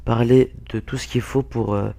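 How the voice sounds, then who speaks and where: A young man talks through a gameplay clip while sick, his voice hoarse and stuffed up. The tone is dark and gravelly with a lot of fry, and he stumbles and restarts often. His pitch sits in an ordinary middle range. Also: steady tone. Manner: halting, many fillers and restarts. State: hoarse, stuffy-nosed with a cold. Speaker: gaming YouTuber. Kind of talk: casual gameplay commentary.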